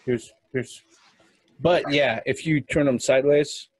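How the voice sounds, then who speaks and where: A man's voice: mid-range voice, fairly even intonation, measured pace, husky, no room sound. A man around forty in a casual group chat, patiently explaining and correcting details. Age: 40s.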